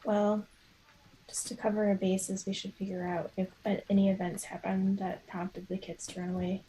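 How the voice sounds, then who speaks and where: A woman's voice: medium pitch, level tone, moderate pace, warm tone, a little hiss or hum, low-quality recording. A woman playing a tabletop roleplaying game, chatting casually and hesitantly as she thinks aloud.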